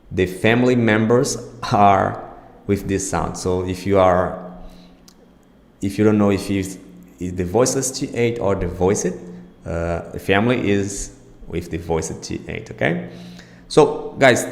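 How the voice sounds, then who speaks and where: Slow, low-voiced man teaching pronunciation. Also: a little mellow. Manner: encouraging, reassuring. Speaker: pronunciation teacher and show host. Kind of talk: informal pronunciation lesson. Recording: echoey room with long reverb.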